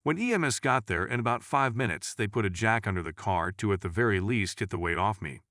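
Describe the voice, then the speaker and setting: A man's voice: on the low side, lively intonation, brisk, a bit bright, no echo, clean studio sound. A man reading a scripted first-person story as a storyteller narrator.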